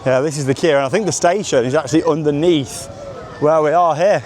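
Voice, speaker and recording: Higher-voiced enthusiastic man vlogging outdoors. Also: brisk, darkish tone, a little hiss or hum. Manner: chatty, enthusiastic, rambling commentary. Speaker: theme park vlogger and host. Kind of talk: casual vlog narration to camera. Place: outdoors at a theme park, walking around.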